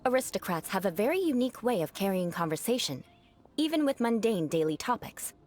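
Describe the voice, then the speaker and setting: An actress playing a proud young aristocrat in a video game, haughty and formal, with precise wording. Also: female voice, mid-range voice, sing-song, a little fast, darkish tone. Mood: composed, haughty. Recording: game music behind the voice. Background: music.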